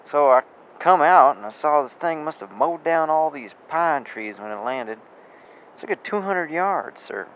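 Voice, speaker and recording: An actor playing a plain-spoken rural farmer and landowner, rambling in folksy speech in an amateur radio drama. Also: male voice, fairly high pitch, expressive, measured pace, fairly bright tone. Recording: dull lo-fi with faint noise.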